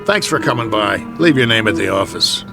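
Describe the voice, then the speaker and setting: Wisecracking, animated baseball manager, American. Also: male voice, on the low side, brisk, creaky, music in the background. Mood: mocking. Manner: sarcastic, slangy, brash. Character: wisecracking, exasperated manager of losing baseball club. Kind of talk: radio drama acting.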